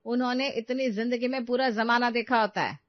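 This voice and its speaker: A woman's voice: fairly high pitch, fairly even intonation, a little fast, dry recording, pitched above the performer's own voice, lightly acted. Woman playing a scolding older mother-in-law, muffled audio. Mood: scolding, exasperated.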